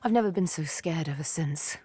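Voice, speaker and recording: An actress playing a haunted, wistful young elven woman in a video game, telling her tale in deliberate, poetic, theatrical phrases. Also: sits low, mellow, breathy edge, dry recording, soft background music. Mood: haunted, pained. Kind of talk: dramatic video game dialogue, intimate storytelling.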